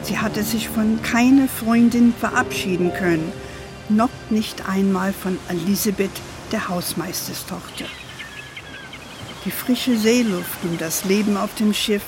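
Affectionate grandma played by a woman for children's audio drama: slow, measured delivery, American-tinged German accent, over clear music. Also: medium pitch, fairly even intonation, lightly acted. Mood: grave storytelling. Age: older. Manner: measured, tender, sprinkles in English endearments. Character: affectionate grandmother telling her family history to her granddaughter. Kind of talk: gentle bedtime storytelling in a children's radio play.

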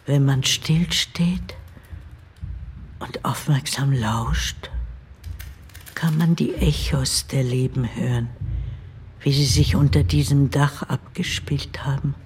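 An actress playing the tender ghost of an older nanny and servant woman in a radio play, hushed and deliberate. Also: very deep voice, steady tone, a little hiss or hum. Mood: wistful, hushed. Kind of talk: German radio play narration.

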